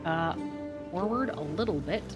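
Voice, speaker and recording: A woman's voice: on the low side, lively intonation, measured pace. Chatty woman streaming a game. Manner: chatty, thinks aloud and answers chat. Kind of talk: casual live gameplay commentary. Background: game music.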